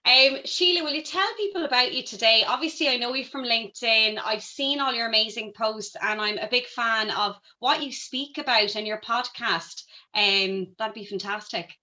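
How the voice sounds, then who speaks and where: A woman's voice: a little high, moderate pace, hardly any pauses, bright, forceful tone. A woman hosting a podcast, asking questions in a friendly, encouraging way. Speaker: podcast host. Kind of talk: casual interview chat.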